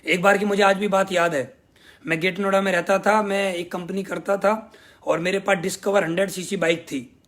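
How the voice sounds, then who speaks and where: A man's voice: high voice, steady tone, moderate pace, fairly bright tone. A young man leading a sales team, giving an emphatic motivational speech and repeating his thanks again and again. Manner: emphatic, grateful, repeats phrases for effect. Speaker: network marketing team leader.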